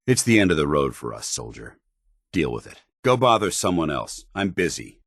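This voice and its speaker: A dutiful paladin in power armor from a video game. His voice is very deep and recorded very dry. He speaks with stern, formal military bearing, clipping his phrases like an officer. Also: sing-song, moderate pace, no room sound, exaggerated voice. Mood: stern, contemptuous. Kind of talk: video game companion dialogue acting.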